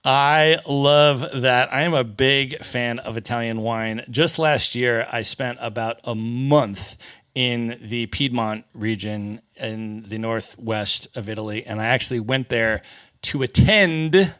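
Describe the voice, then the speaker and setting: A man's voice: medium pitch, slow, a bit bright, clean tone, no echo, studio quality. A man hosting a travel podcast, reading an introduction and interviewing with upbeat enthusiasm. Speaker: podcast host.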